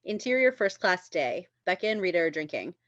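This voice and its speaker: A woman's voice: on the low side, big pitch swings, fairly quick, dry recording, lo-fi sound. A woman reading stage directions as scripted narration at a table read.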